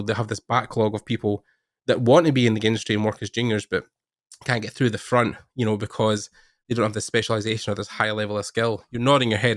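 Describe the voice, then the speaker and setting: Low-voiced man hosting an interview, dry sound. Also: no echo. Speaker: podcast host and interviewer. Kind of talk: casual interview chat.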